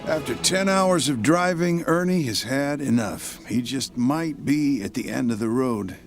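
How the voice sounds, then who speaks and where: An actor playing the announcer of a period radio serial, narrating a scripted story. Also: male voice, mid-range voice, animated, measured pace, over music. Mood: measured, dramatic.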